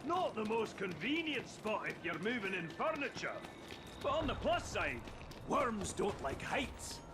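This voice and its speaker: Wildly animated dwarf tinkerer. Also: male voice, sits high, measured pace, background noise. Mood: breezy, encouraging. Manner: folksy, wry, teasing. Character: gruff, jovial, eccentric dwarf tinkerer and adventurer. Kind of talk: animated video game character acting. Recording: roomy reverb under game music.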